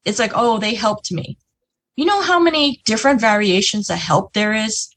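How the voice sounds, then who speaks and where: A woman's voice: mid-range voice, animated, normal speed, a bit bright. A woman giving a chatty motivational business talk on a video call, often asking "right?" and "you know". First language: English. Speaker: business presenter.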